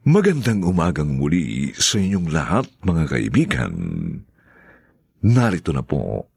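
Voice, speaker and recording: Gravelly slow-talking male radio announcer. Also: extremely low pitch, wildly swooping pitch, darkish tone, no echo, clean studio sound. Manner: slow, gravelly, measured delivery. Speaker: radio drama narrator and announcer.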